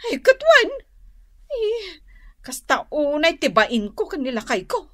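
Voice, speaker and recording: A woman's voice: high voice, huge pitch swings, unhurried, bright, forceful tone, full character voice. A woman playing a touchy, quarrelsome village wife in a comedic Ilocano radio drama, exclaiming and complaining.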